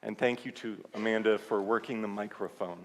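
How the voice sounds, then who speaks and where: A man leading a church service, giving a sermon-style reflection to the congregation in an earnest, measured way. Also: on the low side, fairly even intonation, a little hiss or hum. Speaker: service leader. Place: large church sanctuary. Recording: long reverb of a large church hall.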